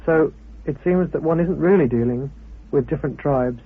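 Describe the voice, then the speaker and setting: A man giving an expository talk as an amateur researcher, in deliberate, halting phrases. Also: on the high side, slightly rough, phone-quality sound. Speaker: sociologist and amateur antiquity researcher. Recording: thin, band-limited old radio recording.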